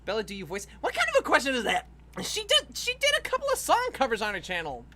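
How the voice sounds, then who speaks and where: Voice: mid-range voice, huge pitch swings, quick pace, pushed and bright. A livestream host chatting casually while animating, rambling and laughing as they talk, with frequent swearing.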